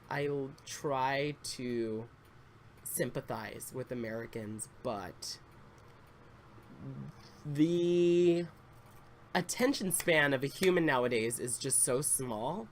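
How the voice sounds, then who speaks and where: A man in his twenties chatting casually, a bit congested and slightly raspy, rambling with fillers and restarts. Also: a little high, a little hiss or hum. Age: 20s. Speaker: Sims YouTuber. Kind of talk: casual story time chat.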